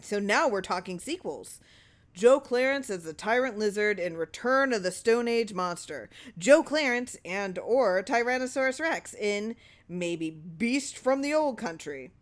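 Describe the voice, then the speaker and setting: A woman voicing a wily, flattering old male lawyer in an audiobook story, talking in a persuasive, rhetorical way. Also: mid-range voice, unhurried, close to an everyday voice. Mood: gleeful.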